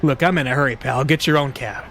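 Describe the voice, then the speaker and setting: A man putting on a brash, frantic man, high and loud. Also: quick pace, a little hiss or hum, natural voice. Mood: curt. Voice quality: loud, raised and strained. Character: agitated adult man, impatient and brash.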